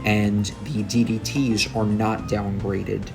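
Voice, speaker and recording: A young man walks through game balance changes in a slow, low, gravelly voice, sounding tired and like he is coming down with a cold. His delivery stays flat and hesitant, full of ums. Game music plays clearly under his voice. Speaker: gaming YouTuber. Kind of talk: gameplay commentary on patch notes.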